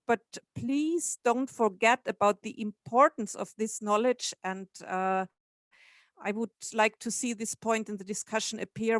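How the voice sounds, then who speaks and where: A woman's voice: medium pitch, fairly bright tone, dry recording, studio quality. A woman making an earnest contribution to an online discussion. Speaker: webinar participant.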